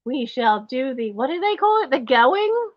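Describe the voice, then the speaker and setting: A woman's voice: high voice, very animated, moderate pace, no room sound, dull audio. A woman playing a snobbish posh lady in a wig and diamonds, with a put-on posh British accent, running an auction and talking down to her viewers. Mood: haughty. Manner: condescending, calls everyone darling and commoners. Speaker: auction host. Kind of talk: in-character livestream auction.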